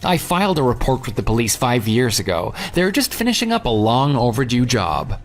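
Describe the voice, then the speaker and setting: Male actor as bitter ex-fiancé, smug and taunting, lively swings in pitch, for an audio drama. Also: fairly quick, soft background music. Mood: gloating. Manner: sarcastic, taunting. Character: vengeful jilted ex-fiancé, sarcastic and coldly smug. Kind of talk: dramatized phone dialogue.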